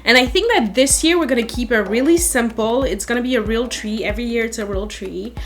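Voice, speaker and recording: A woman's voice: on the high side, brisk. A young woman from Africa with a mixed British and Nigerian accent, chatting frankly and laughing often over a video call while doing her makeup. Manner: lively, frank, laughs often, speaks with emphasis. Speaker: guest beauty creator.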